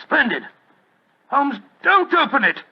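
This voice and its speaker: A loyal gentleman doctor with a British accent, fussy and blustering, pitched high. It is old-time radio drama, with the muffled sound of a vintage broadcast. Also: very animated, a little slow, full character voice. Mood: flustered. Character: Doctor Watson, loyal older gentleman doctor and sidekick. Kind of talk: 1940s radio drama acting.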